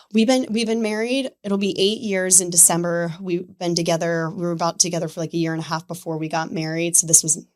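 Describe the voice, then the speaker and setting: Podcast guest: woman in her 30s, lively conversational storyteller, filler-heavy and animated. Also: lowish voice, a little fast. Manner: animated, chatty, filler "like" throughout.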